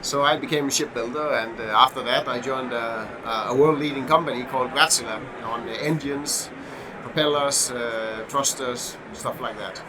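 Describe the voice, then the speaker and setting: A middle-aged man from Denmark with a Danish accent, a podcast guest who talks in a halting way with many fillers and restarts. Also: medium pitch, fairly even intonation. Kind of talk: casual podcast interview. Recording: noisy background hum.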